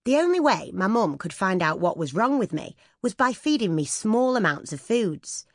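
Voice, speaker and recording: A woman's voice: mid-range voice, sing-song, moderate pace, dry recording, clean studio sound. A woman reading a scripted first-person memoir as an audiobook narrator.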